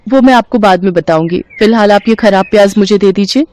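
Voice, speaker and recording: A woman's voice: medium pitch, a little fast, darkish tone, natural voice. A woman voicing a poor, pregnant young widow in a cartoon story, speaking in an earnest, pleading way.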